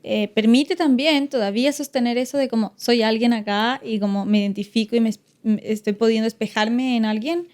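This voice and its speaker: A woman's voice: on the high side, a little fast, hardly any pauses, a little mellow. A woman from Ecuador with an Ecuadorian accent, talking reflectively as a podcast guest with many fillers and restarts. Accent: Ecuadorian Spanish. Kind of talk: casual podcast conversation.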